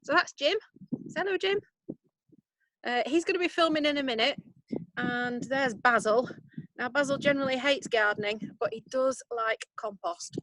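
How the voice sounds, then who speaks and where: A woman's voice: sits high, normal speed, fairly bright tone, dry recording, rough recording. Chatty Yorkshire woman on a video call. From Yorkshire, England. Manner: chatty, self-deprecating, many ums. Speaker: composting workshop presenter. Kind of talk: casual online workshop talk. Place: outdoors in a garden.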